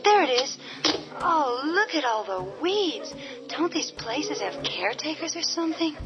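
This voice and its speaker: A nervous young sister, high pitched, pleading, muffled radio play sound with music. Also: female voice, big pitch swings, unhurried, exaggerated voice. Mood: uneasy. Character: young woman, anxious sister, easily frightened. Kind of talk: radio drama acting. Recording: dull radio drama with music.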